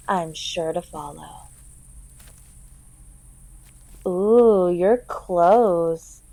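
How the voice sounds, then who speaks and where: A woman's voice: fairly low pitch, warm tone, natural voice, rough recording. A woman playing a theatrical, sarcastic masked slasher killer who scolds her victim in a horror-comedy audio roleplay. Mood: taunting, menacing.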